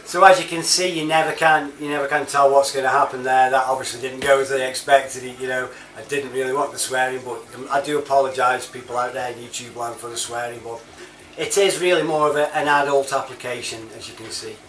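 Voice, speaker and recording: A man's voice: medium pitch, steady tone, a little fast, a bit bright. A British man giving casual, informal commentary as the host of a home-made app review. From United Kingdom. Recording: echoey room, distant mic, faint noise.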